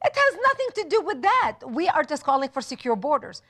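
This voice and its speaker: Woman on a tv studio interview, high voice, fired up, piles up lists. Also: very animated, very fast, sharp tone. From Lebanon. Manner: impassioned, emphatic lists. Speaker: guest author. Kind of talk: passionate studio interview talk.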